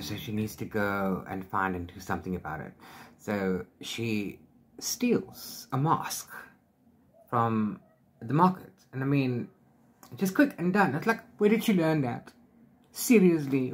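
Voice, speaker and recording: A middle-aged man chatting casually as he recaps a book, rambling along in an animated retelling. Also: on the low side. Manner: rambling, animated retelling with filler sing-song syllables. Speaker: book reviewer vlogger. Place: small book-lined room at home.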